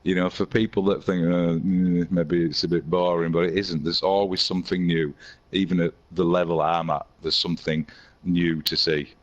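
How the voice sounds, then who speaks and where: A hoarse man from England with a Yorkshire accent, chatting casually as an interview guest and laughing easily. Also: low voice, flat delivery, moderate pace, dull audio. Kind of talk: casual chat.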